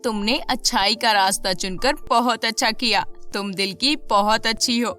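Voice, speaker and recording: Kindly fairy for a children's cartoon, played by a woman: gentle, approving, bright high voice, music behind. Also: steady tone, moderate pace. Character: kindly female fairy praising a good girl. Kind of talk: animated children's moral story.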